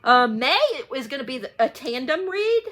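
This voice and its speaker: A woman's voice: high voice, sing-song, moderate pace, a bit bright. A woman talking casually to viewers in a rambling, informal book vlog, often getting sidetracked. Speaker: book channel vlogger.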